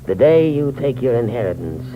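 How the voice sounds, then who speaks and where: An actor playing a frail, trusting elderly uncle who speaks slowly and hesitantly in a 1950s radio drama. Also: male voice, medium pitch, big pitch swings, over loud music, close to an everyday voice. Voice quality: slow, hesitant speech. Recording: muffled old radio broadcast with loud hiss.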